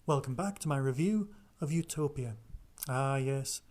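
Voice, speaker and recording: A man's voice: mid-range voice, moderate pace, heavy background noise. A man hosting a podcast solo, chatting casually through listener news and reviews. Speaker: podcast host.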